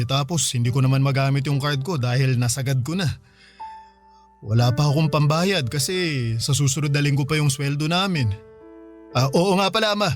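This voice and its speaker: A man's voice: fairly high pitch, natural voice. A man playing a patient, put-upon young boyfriend and son in a Filipino radio drama, earnest and hesitant. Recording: music behind the voice.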